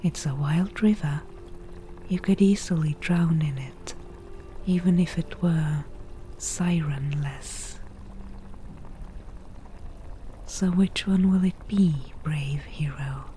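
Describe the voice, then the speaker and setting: A wry forest witch, played by a woman, whispers softly and very slowly, low and breathy. She is a dryly teasing potion brewer who drives a bargain in an ASMR fantasy roleplay. Her tone is mellow, with faint noise behind it. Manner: slow, unhurried, dryly teasing.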